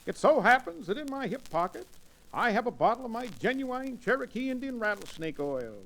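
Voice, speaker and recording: A man's voice: extremely high pitch, sing-song, a little fast, creaky, a bit bright, heavily acted, rough recording. A man playing a pompous, comic, indignant frontier medicine peddler in an old-time radio western. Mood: blustering. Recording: vintage radio recording, faint noise.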